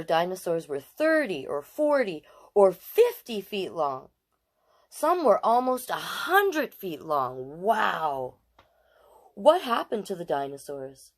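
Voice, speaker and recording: A woman in her late forties hosting storytime, reading aloud to children with expressive emphasis and chatty asides. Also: mid-range voice. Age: late 40s.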